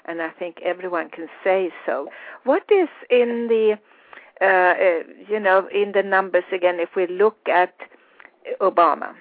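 A woman with a Swedish accent hosts a spiritual interview, feeling her way through phrases with pauses. Her voice comes through dry and narrow, like a telephone line. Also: lowish voice, no room sound. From Sweden. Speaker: radio host interviewing a guest. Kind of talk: reflective spiritual interview talk. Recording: thin, phone-like radio audio.

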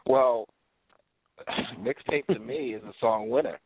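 A man's voice: medium pitch, slow, slightly rough, no room sound. A man chatting casually and effusively as a guest caller, repeating himself like a hype man. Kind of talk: casual promotional chat. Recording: tinny phone line.

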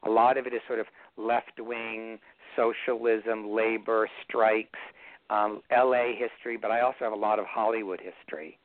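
A man's voice: on the low side, fairly even intonation, normal speed, no room sound. A man rambling and joking in a chatty way as a guest, telling stories in casual conversation. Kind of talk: casual storytelling chat. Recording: tinny dry phone line.

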